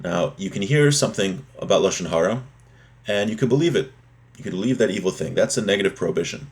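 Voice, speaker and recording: Man, somewhat low pitch, religious teacher, didactic, explanatory, restates key points. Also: animated, quick pace. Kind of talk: explanatory religious lesson, reading a text aloud and explaining it.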